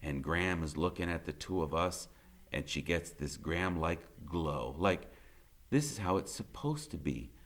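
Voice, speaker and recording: Animated man reading a story aloud. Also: sits low, normal speed. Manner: conversational, wry, dramatic. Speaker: audiobook reader. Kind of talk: expressive first-person story narration.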